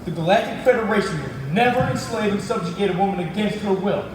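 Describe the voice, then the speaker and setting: A man's voice: high voice, quick pace, close to an everyday voice. A man playing an earnest, naive young space janitor and nervous hero in an emphatic comic stage style. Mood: earnest denial. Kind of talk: comic stage readers theatre acting. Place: large echoing competition room or hall. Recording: echoey hall from far off, loud noise.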